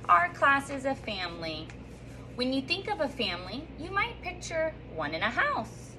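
A woman's voice: high voice, normal speed, slight background noise, rough recording. Upbeat woman teacher reading aloud to kids. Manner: upbeat and sing-song, reading with lively rises and slips. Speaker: third grade teacher. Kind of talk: welcome message and picture book read-aloud to children. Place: classroom reading corner, indoors. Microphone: phone or camera on a stand a few feet away.

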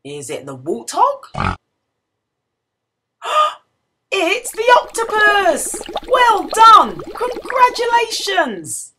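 Very animated, high-voiced woman storyteller. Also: very slow, long pauses, noisy background. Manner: expressive, exclamatory, asks the listener questions. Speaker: children's storybook reader. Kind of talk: animated read-aloud storytelling for young children.

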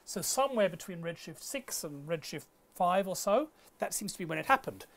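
A man's voice: high-pitched, animated, fairly quick, a bit bright. A middle-aged man lecturing on science in a conversational way, explaining step by step. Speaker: astronomy lecturer. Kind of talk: conversational science lecture in a two-person dialogue.

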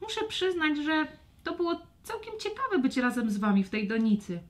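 A woman voicing an expressive young female dragon in a children's audio fairy tale. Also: high voice, moderate pace, pitched above the performer's own voice, natural voice. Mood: earnest. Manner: expressive, exclaiming. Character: young female dragon, tearful then earnest peacemaker.

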